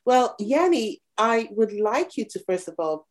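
A woman hosting a podcast, introducing her guest and asking questions in a measured way. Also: a little high, sing-song. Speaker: podcast host.

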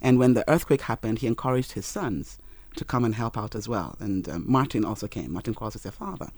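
A man, a Yoruba speaker, telling his life story in an interview in an earnest, measured way. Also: fairly low pitch, animated, a little mellow, slightly rough, dry recording. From Nigeria. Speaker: interview guest.

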